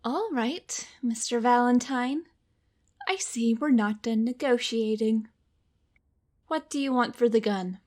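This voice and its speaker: An actress playing a cold, calculating rich woman in a noir audio drama, with a measured, condescending and formal manner. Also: fairly high pitch, darkish tone. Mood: icy, threatening. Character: cold, haughty, calculating rich femme fatale.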